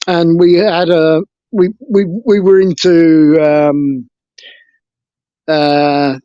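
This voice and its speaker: A man's voice: fairly high pitch, flat delivery, very slow, dark tone, clear, resonant tone, no room sound, highs cut off. A man from England, talking frankly and hesitantly about his life as an interview guest over a video call. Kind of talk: casual interview talk.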